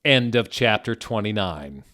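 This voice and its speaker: Man, lowish voice, very unhurried pace, theatrical comic narration, mock-serious, deliberate. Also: expressive, pushed and bright. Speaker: reader of fan fiction. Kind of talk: dramatic comic reading, scripted narration.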